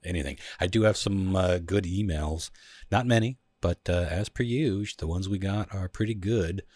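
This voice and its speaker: Low husky podcast host. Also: male voice, moderate pace, no room sound. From Pacific Northwest of the US. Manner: relaxed, swearing often. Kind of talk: casual chat.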